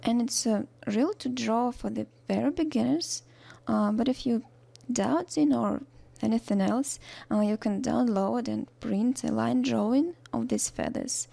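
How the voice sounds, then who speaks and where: Young Russian-accented woman, mellow and gravelly, halting tutorial voice-over. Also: on the high side, dry recording. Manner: halting, with many fillers and restarts, soft-spoken. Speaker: art tutorial narrator. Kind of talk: voice-over narration of a drawing tutorial.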